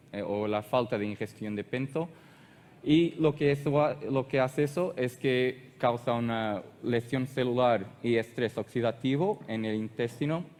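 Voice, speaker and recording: A man's voice: mid-range voice, a little mellow. Portuguese-accented man lecturing in a hall. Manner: halting, searches for words and restarts often. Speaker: conference speaker. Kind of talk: technical conference presentation.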